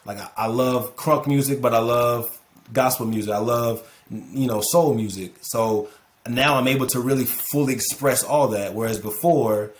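A man's voice: medium pitch, moderate pace, a little hiss or hum. A man in his thirties, a guest on a podcast, talking reflectively with many repeated words. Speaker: podcast guest, music producer. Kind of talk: casual interview chat.

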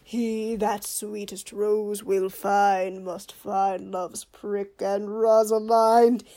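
A woman playing a witty, pompous male court fool who teases with mock logic in a Shakespearean radio play. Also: sits high.

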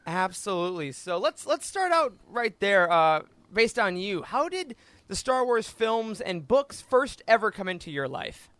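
A man's voice: high voice, big pitch swings, measured pace, fairly bright tone, no room sound. Male podcast host, effusive and chatty, casual interview delivery, dry recording. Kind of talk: casual upbeat interview chat.

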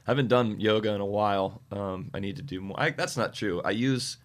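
A man, a touring musician guest, chatting casually with a slightly scratchy, tired voice and many halting fillers. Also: on the low side. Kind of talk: casual interview chat.

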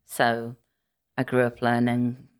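A middle-aged woman with a Welsh-tinged Liverpool accent, a native Welsh speaker, chatting as a podcast guest. She speaks softly with short replies and frequent hesitations. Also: a bass voice, completely flat delivery, slow pace, clear, resonant tone. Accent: Welsh-influenced Liverpool English. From Liverpool, England. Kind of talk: casual interview chat.

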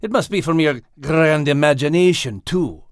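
A man voicing a small, sensible male dog in an audiobook, plain-spoken and a little gruff, with a Scottish accent. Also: a little high, moderate pace, no echo, clean studio sound, natural voice. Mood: amused scolding. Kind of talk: audiobook character acting.

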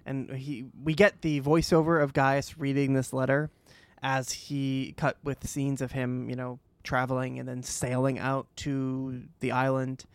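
A man's voice: medium pitch, flat delivery, measured pace, clear, resonant tone, dry recording. A man co-hosting a podcast, recapping TV in an informal, conversational way. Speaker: podcast co-host. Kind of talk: casual TV episode recap.

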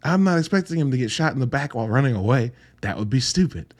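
Male podcast host, medium pitch, conversational, blunt, casual film commentary, rhetorical asides. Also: lively intonation, fast talker. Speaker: podcast host reviewing films.

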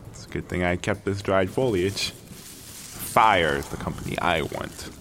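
A man playing a proud, bossy castaway in an audio drama, speaking haltingly in a haughty, grudging way to his companions. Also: sits low, fairly bright tone, a little hiss or hum. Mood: wistful, homesick. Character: proud stranded male castaway, bossy, grudging, talking to creatures. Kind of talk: dramatic audio fiction monologue, survival log.

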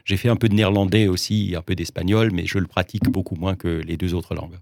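A man from Alsace, raised speaking Alsatian, talks slowly and carefully, often restarting his sentences. His voice sits low with a gravelly edge, and the recording is dry and close. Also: fairly even intonation, a little mellow, no echo. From Alsace, France. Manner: measured, hesitant, many restarts. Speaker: interviewee, business executive. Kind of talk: interview conversation.

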